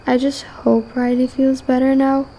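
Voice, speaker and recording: Woman acting high-voiced, guilt-ridden young refugee girl. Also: flat delivery, a little slow, mellow, rough recording. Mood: grateful, subdued. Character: teenage girl refugee fleeing war, guilt-ridden and resolute. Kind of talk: amateur radio drama acting.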